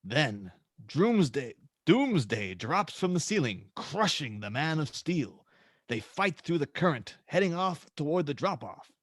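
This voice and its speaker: A man's voice: on the high side, very animated, a little slow, dry recording, studio quality. A man reading out stage directions and scene headings as narrator in a script table read.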